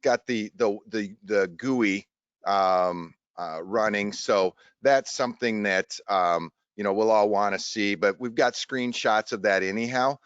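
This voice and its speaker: A man hosting a casual tech discussion in a halting, rambling way over an online video call. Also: on the low side, a bit bright, clear, resonant tone, no room sound. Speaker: host of a show tech webinar. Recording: dull, lo-fi online stream audio.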